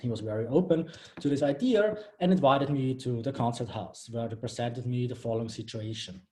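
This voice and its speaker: A man's voice: medium pitch, brisk. A man in his thirties from Austria, presenting his final project on a video call. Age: 30s. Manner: a little flustered by screen-sharing trouble, then steady and explanatory. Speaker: bootcamp graduate presenting a project. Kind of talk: live-streamed project presentation.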